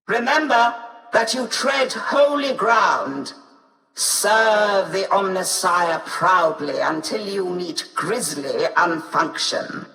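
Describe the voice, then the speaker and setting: Haughty, echoing machine-cult priestess. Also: female voice, mid-range voice, creaky, fairly bright tone. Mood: imperious reverence. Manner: imperious, formal, measured. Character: haughty female machine-cult tech-priest, reverent and disdainful. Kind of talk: video game character acting. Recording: distant, echoing, long reverb.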